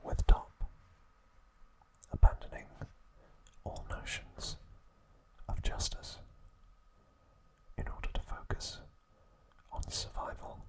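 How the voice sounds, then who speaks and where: A man narrates in a soft ASMR whisper, close on the microphone. His delivery is very slow and deliberate, broken into short, careful phrases. He sounds like a hushed host guiding a listener through trivia. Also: rough recording.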